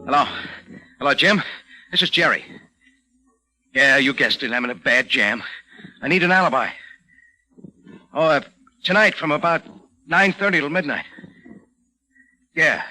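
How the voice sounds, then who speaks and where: An actor playing an agitated crook under pressure in an old radio drama, speaking in urgent, clipped, commanding phrases. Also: male voice, a little high, sharp tone, low-quality recording. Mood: tense, pleading. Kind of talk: 1940s radio acting. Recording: old radio recording, faint noise.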